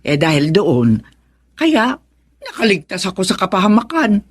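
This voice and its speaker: An actress playing a sheepish middle-aged wife in a Tagalog radio drama, a reformed gossip. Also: female voice, mid-range voice, huge pitch swings, moderate pace. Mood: sheepish, reassuring.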